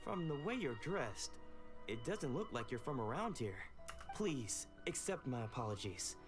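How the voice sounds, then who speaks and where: Earnest young sword disciple. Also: male voice, medium pitch, very animated, measured pace, over music. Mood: apologetic, earnest. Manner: formal, polite, earnest. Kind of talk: video game dialogue acting.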